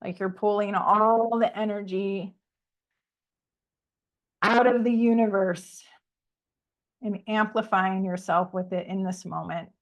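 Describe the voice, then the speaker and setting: A woman coach leading a slow, soothing guided breathing and visualization exercise over a video call. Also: medium pitch, steady tone, low-quality recording. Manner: soothing, slow guiding instructions.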